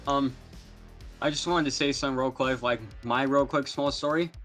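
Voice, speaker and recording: A man's voice: medium pitch, steady tone, moderate pace, fairly bright tone, soft background music. A man co-hosting a podcast, bantering casually with exaggeration and swearing. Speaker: podcast co-host. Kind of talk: casual chat.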